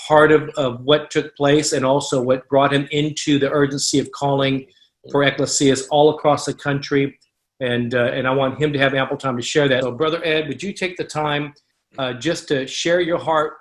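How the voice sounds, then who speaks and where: A man hosting a ministry call, introducing speakers in an earnest, rambling way. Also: mid-range voice. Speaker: host.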